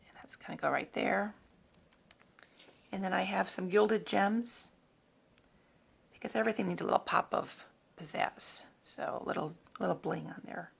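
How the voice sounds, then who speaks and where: A woman hosting a casual craft tutorial in a raspy, hoarse voice, talking informally and a little haltingly as she works. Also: medium pitch. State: hoarse, throat-clearing from long talking. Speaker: host of a papercraft tutorial. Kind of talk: casual craft demonstration, talking while working.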